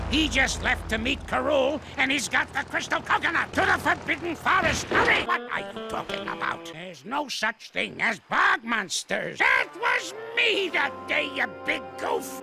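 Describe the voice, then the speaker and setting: A gravelly, grouchy old cartoon ape. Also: male voice, very high voice, exaggerated voice. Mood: alarmed. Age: over 60. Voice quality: gravelly, cackling. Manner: scolding, calls others knuckleheads. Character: grouchy old male ape, bossy and scheming. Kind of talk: animated cartoon voice acting. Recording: cartoon soundtrack with music behind.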